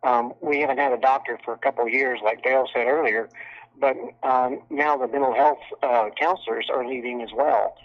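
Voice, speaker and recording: Male caller, average pitch, halting and concerned as he asks his question over a dull telephone line. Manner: hesitant, concerned. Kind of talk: spontaneous question on a public call.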